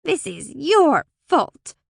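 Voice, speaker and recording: Woman putting on a high, scornful little brownie. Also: huge pitch swings, slow pace, no room sound, clean studio sound, full character voice. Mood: accusing. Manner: sharp, accusing. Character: small suspicious female brownie, scornful and blunt. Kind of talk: audiobook character acting.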